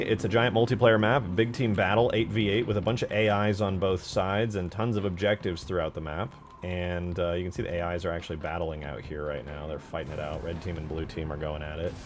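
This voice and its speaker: Low-voiced, laid-back man streaming. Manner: laid-back, muttering asides to himself, casual swearing. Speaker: game modder streaming his work. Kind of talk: casual live stream commentary.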